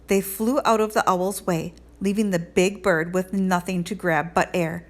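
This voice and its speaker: A woman reading a children's storybook aloud in an expressive teacherly narration. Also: lowish voice, unhurried.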